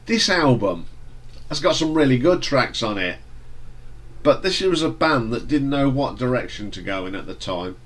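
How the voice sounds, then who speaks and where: A man with a stuffy nose from hay fever, casually reviewing an album in a relaxed, rambling way with fillers and restarts. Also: medium pitch, moderate pace. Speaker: music reviewer.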